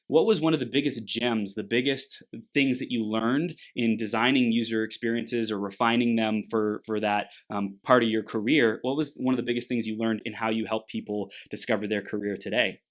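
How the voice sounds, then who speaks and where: A man's voice: on the low side, steady tone, brisk, few pauses. Enthusiastic male podcast host. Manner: enthusiastic, affirming, frequent backchannels. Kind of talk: podcast interview.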